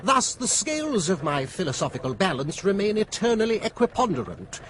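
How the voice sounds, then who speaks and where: An actor playing a genial, fence-sitting gentleman philosopher in a period radio drama, with a British accent and urbane, wordy wit. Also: high-pitched, huge pitch swings, a little fast, slight background noise, full character voice. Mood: wry, genial.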